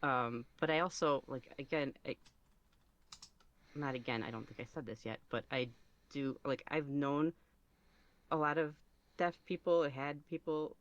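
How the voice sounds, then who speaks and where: A man's voice: a little high, fairly even intonation, unhurried, long pauses, a bit bright, dry recording, highs cut off. A man speaking casually as an interview guest, rambling and somewhat self-conscious as he goes. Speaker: podcast guest, ASMR roleplay creator.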